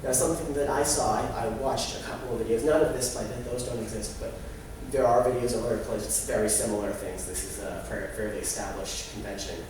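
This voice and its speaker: A young man giving an informal student presentation in a halting way, with false starts and repeated phrases. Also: on the low side, fairly even intonation, recorded from a distance. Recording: very echoey, noisy room from afar.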